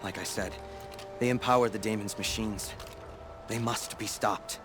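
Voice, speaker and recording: Male actor as earnest shaman's apprentice, low voice, measured formal speech, humble and solemn, game cutscene, music behind. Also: fairly even intonation, close to an everyday voice. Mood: guarded, firm. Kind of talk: video game cutscene acting.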